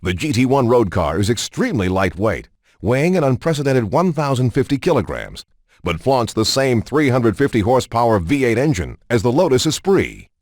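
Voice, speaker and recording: A man reading scripted, informational showcase narration for a racing game in a polished, measured announcer manner. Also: mid-range voice, very animated, darkish tone, no echo, clean studio sound.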